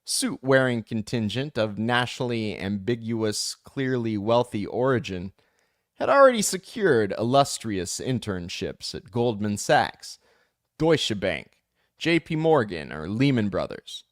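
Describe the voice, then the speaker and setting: A man reading a first-person memoir aloud as an audiobook, in a measured, steady way with lifts for emphasis. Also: fairly low pitch, no room sound, studio quality. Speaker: audiobook reader.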